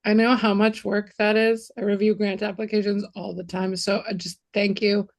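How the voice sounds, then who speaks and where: A woman's voice: medium pitch, flat delivery, normal speed. A woman at a commission meeting earnestly thanking the committee that reviewed grant applications. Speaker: commission member. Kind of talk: meeting remarks.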